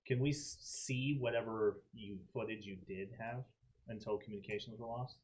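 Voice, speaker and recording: A man playing a tabletop role-playing game, talking through options in a measured, deliberative way. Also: lowish voice, steady tone, darkish tone, husky, rough recording. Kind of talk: in-character tabletop discussion.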